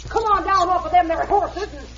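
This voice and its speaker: A woman's voice: very high voice, brisk, fairly bright tone. A feisty frontier lady sheriff. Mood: brusque. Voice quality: brash, folksy cowboy slang. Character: feisty frontier woman sheriff, bossy and hot-tempered. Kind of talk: 1950s radio western acting. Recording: dull old radio transfer, faint hiss.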